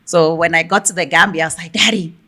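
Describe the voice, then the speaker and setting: Bright-voiced woman from Ghana, laughing often. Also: fairly low pitch, fast, slightly rough. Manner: lively, laughs often, emphatic lists. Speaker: podcast guest. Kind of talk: conversational interview.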